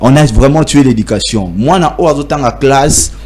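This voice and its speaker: A young man with a Congolese accent, speaking haltingly in a debate and switching between French and Lingala. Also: mid-range voice, darkish tone. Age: young adult. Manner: halting, many restarts, mixes French with Lingala. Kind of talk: hesitant debate talk.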